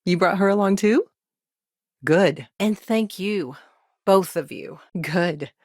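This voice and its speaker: Wry, lower-voiced woman lawyer in a game. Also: slow pace, a little mellow, no echo, clean studio sound. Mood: pleased. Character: busy, dry-witted woman lawyer, dogged and friendly. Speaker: video game voice actor. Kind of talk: game dialogue lines, naturalistic acting.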